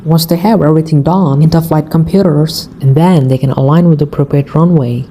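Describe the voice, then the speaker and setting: A woman's voice: low-pitched, steady tone, fairly quick, dark tone. A woman narrating and explaining air traffic radio recordings in plain, informal English with non-native phrasing. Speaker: aviation video narrator.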